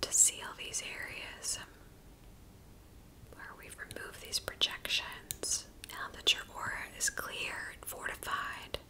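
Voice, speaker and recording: A woman whispering slowly and soothingly as she guides a listener through a reiki session in an ASMR video. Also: rough recording. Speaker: reiki practitioner.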